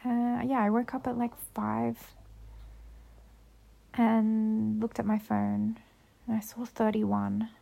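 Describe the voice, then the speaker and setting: Slow-talking young woman with a gravelly voice. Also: on the high side, level tone, dark tone. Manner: chatty, rambling, upbeat self-talk. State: slightly sick with a cold. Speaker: vlogger. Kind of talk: casual chat to viewers.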